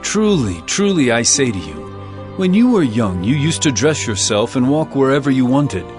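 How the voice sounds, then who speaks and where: A man voicing Jesus as a calm, tender teacher in a dramatized scripture reading, speaking slowly and with measured care. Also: medium pitch, voice pushed up, natural voice. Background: music.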